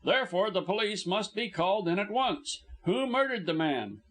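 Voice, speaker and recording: A man voicing a brisk, suspicious gentleman with an imperious, forceful way, in an audiobook story. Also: high-pitched, expressive, pitched above the performer's own voice.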